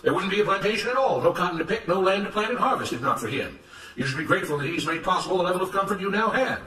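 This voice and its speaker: Man putting on a loud, high-pitched oratorical plantation boss. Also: animated, rapid-fire, roomy sound, distant mic, rough recording. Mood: indignant, lecturing. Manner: declamatory, oratorical, emphatic. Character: smooth-talking, self-righteous plantation overseer making rousing speeches. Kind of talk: dramatised storybook narration.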